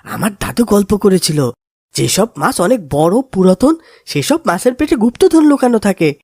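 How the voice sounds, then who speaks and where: A woman acts as a timid young boy in a kids' cartoon. The voice is husky and swoops up and down, excitable and anxious in manner. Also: medium pitch, normal speed, no echo, clean studio sound. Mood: excited, curious. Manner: excitable, anxious, wide-eyed questioning. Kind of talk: children's cartoon voice acting.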